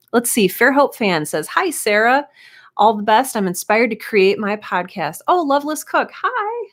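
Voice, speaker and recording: A woman's voice: mid-range voice, fairly bright tone. Chatty woman hosting a casual live stream. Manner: chatty, informal, encouraging. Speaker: live stream host and writing teacher. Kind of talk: casual live stream chat, greeting viewers and reading comments.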